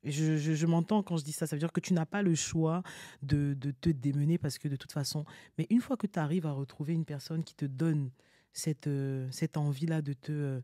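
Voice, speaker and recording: A woman's voice: low-pitched, fairly even intonation, moderate pace, mellow, dry recording, studio quality. A woman in a casual debate, speaking colloquially and insistently, challenging the others as a panel guest.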